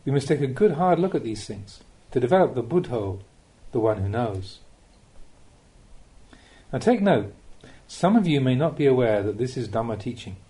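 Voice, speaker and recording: Mellow man reading teachings, lively intonation. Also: mid-range voice, normal speed. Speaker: narrator reading Buddhist teachings. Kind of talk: audiobook reading of a spiritual talk.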